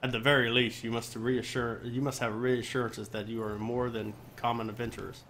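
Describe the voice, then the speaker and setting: A man doing a live let's play, reading quest dialogue aloud haltingly and often restarting his phrases. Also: medium pitch, flat delivery, fairly bright tone. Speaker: game streamer. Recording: music behind the voice. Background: game music.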